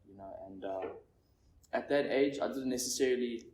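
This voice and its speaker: A man's voice: medium pitch, a little mellow. Nervous young man in an echoey room. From Zimbabwe. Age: 20s. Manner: halting, rambling, heavy on fillers. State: nervous, shaky. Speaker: speaker at a recovery meeting. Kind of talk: personal recovery share. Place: meeting room with an audience. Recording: echoey room, distant mic, faint noise.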